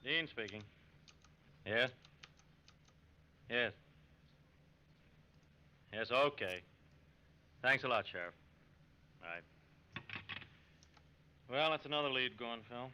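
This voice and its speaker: An actor with an American accent playing a brisk, businesslike FBI agent in a 1940s radio drama. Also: male voice, medium pitch, lively intonation, sharp tone, exaggerated voice. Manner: clipped, businesslike. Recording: dull old radio recording, faint noise.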